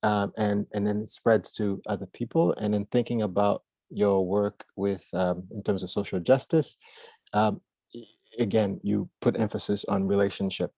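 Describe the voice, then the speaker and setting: A man hosts an online roundtable in a dark, relaxed voice with plenty of fry. He is informal and feels his way through reflective summaries, in a dry recording. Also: fairly low pitch, normal speed, no room sound. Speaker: moderator. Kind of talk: informal online discussion moderating. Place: video call.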